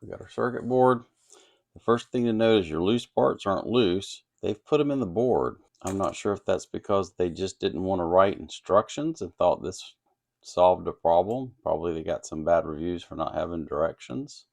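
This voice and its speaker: A man's voice: low voice, normal speed, gravelly, darkish tone. A man giving an informal technical explanation in a plainspoken, folksy, step-by-step way, like a hobby instructor at a workbench. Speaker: host of an electronics kit-building channel.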